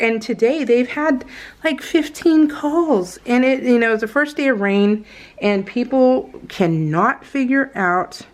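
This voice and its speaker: A woman craft vlogger chatting casually in a giggly, meandering way as she goes through her scrapbook pages. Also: a little high. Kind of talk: casual rambling chat over scrapbook pages.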